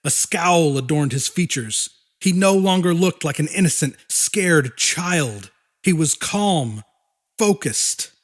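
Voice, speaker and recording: A man narrating a horror audiobook in a dramatic, deliberate style with weighty pauses. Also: on the high side, animated.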